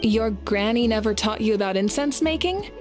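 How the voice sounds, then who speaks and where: A woman playing a calm, knowledgeable and caring guide in a video game, speaking in a composed, considered way. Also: fairly high pitch, moderate pace, lightly acted. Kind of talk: English video game voice acting. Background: faint game music.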